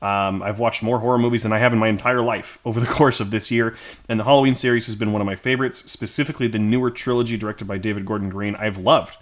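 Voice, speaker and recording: A man's voice: on the low side, fairly even intonation, fast talker. A man co-hosting a podcast, talking casually and informally about movies. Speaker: podcast co-host.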